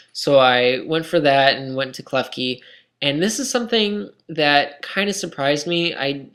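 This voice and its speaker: A man's voice: mid-range voice, a bit bright. A young man commentating his own online game match in a casual, self-critical, rambling way, his voice tired and scratchy. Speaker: gaming commentator.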